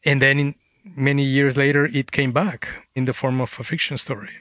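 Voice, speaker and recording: A man's voice: medium pitch, level tone, a little fast, a bit bright, dry recording, clean studio sound. A man, a Spanish speaker, telling stories reflectively as an interview guest. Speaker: guest author, former journalist.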